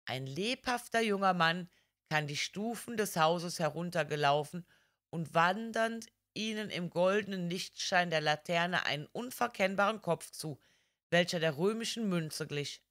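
A woman's voice: fairly low pitch, animated, unhurried, a bit bright. A woman reading a literary crime story as a scripted audiobook narration.

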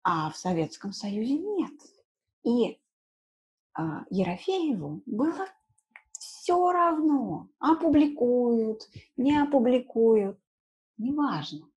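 A woman's voice: fairly high pitch, big pitch swings, slow pace, long pauses, a little mellow, husky, lo-fi sound. A woman in her thirties teaching an informal lesson in simple words, repeating key words and adding short check questions. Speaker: language teacher. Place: small room indoors at home.

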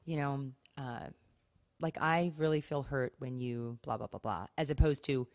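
A woman's voice: a bass voice, measured pace, no echo, clean studio sound. A woman co-hosting a podcast, giving advice in casual conversation with her co-host.

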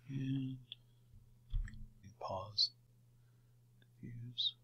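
A man teaching digital painting in a quiet, unhurried screen-recorded tutorial, often dropping to near a whisper as he works. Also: mid-range voice, breathy edge, muffled recording. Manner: unhurried, talks while working.

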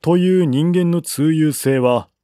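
Male narrator for literary historical fiction: unhurried, formal, mid-pitched, close dry recording. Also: warm tone, dry recording. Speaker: audiobook narrator.

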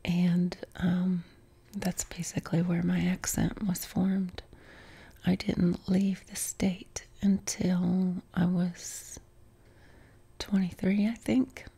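A middle-aged woman with a softened Appalachian accent whispers close to the mic, her voice airy and husky with no tone behind it. She talks slowly and evenly, with a mellow, low-key flatness and frequent soft ums. She sits on a couch in her living room. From West Virginia. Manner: unvoiced whisper, unhurried with many ums. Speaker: ASMR creator. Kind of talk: whispered Q&A chat. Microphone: close mic, camera framed on torso.

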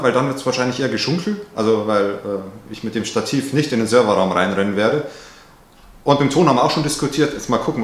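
A man in his forties in a middle pitch, telling stories with dry, joking asides. He sounds relaxed and a bit teasing, in a room with noticeable echo. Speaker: video host. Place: indoor room on a sofa.

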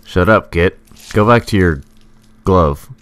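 A man's voice: low-pitched, takes it slowly, a bit bright, slight background noise. A man reading a scripted, rhyming video game review in a sarcastic, sing-song manner.

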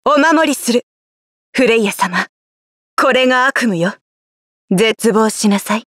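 A woman playing a cool, devoted young nightmare fairy in a video game, with a breathy voice. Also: on the high side, expressive, moderate pace, fairly bright tone, no room sound, clean studio sound. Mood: resolute. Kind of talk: anime-style video game voice acting.

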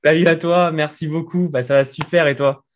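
A man's voice: on the high side, fairly even intonation, fairly quick. A man in his thirties from France, a guest talking casually in an interview from his living room, chatty with many fillers. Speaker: guest entrepreneur. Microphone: desk mic in frame.

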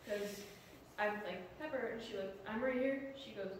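A woman's voice: medium pitch, moderate pace. A woman in the congregation sharing a prayer request in a chatty, offhand way, inside a large church sanctuary. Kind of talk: casual spoken prayer request. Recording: echoey sanctuary from far off, noisy.

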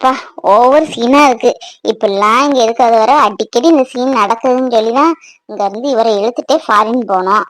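A woman's voice: high-pitched, a little fast, no echo, close to an everyday voice, poor audio. A woman playing a resentful, defiant daughter-in-law in a family drama, complaining sardonically. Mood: scornful muttering.

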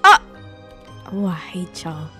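A woman's voice: lowish voice, big pitch swings, slow pace, sharp tone, faint music behind, full character voice. A woman playing a reluctant, flustered granddaughter in an improvised game roleplay, slangy and repeating herself. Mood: reluctant, pleading. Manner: slangy, dramatic, stammering repetition.

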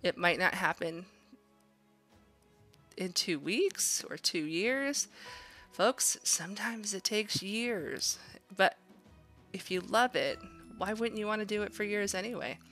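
Bright-voiced woman teaching, chatty and informal. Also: medium pitch, lively intonation, slow, long pauses, faint music behind. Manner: chatty, encouraging. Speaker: art workshop instructor. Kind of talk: informal drawing demonstration, thinking aloud.

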